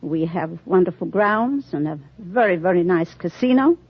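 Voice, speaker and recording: A woman's voice: fairly low pitch, lively intonation, moderate pace, slightly rough. Earnest woman on a muffled old quiz show. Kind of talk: unscripted answers to a host. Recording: dull, hissy old radio recording. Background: studio audience.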